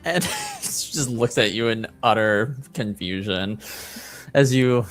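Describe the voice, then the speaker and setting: A man acting as game master, casually narrating a tabletop roleplaying game in a measured, improvised way with hesitations. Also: fairly low pitch, fairly bright tone, soft background music.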